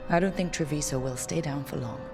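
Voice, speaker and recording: An actress playing a steady, encouraging adventurer heroine in a video game, with an earnest way of speaking. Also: female voice, low voice, a little fast, music in the background. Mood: reassuring. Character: steady, encouraging hero woman, a visiting adventurer. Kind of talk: video game cutscene dialogue.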